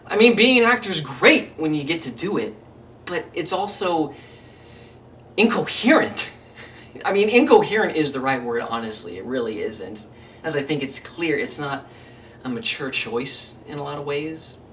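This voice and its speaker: A man playing an exasperated, rambling struggling actor in a theatrical monologue, emphatic and self-interrupting, wry and excitable. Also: a little high, moderate pace. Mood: amused exasperation. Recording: distant mic, faint room noise.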